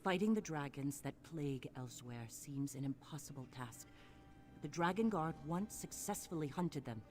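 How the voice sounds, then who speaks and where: A woman voicing a commanding, perceptive mentor in a video game, speaking in a formal, deliberate and imperious way. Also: sits low, expressive, a little mellow, soft background music. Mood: grave, instructing. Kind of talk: video game character acting. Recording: faint background noise and game ambience.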